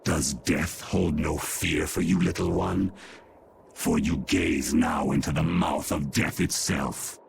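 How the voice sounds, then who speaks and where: An actor playing an ancient, imperious monster guardian in a fantasy game, speaking with slow, solemn grandeur and stern menace. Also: male voice, fairly even intonation, background noise, full character voice. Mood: menacing. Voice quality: deep gravelly. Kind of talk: dubbed fantasy game voice acting.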